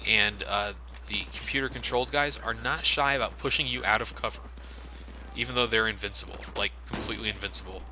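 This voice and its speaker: A young man giving relaxed, casual commentary over a game, swearing freely and laughing often. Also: on the low side, fairly quick. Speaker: let's play commentator. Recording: game gunfire noise behind. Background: game gunfire and ambience.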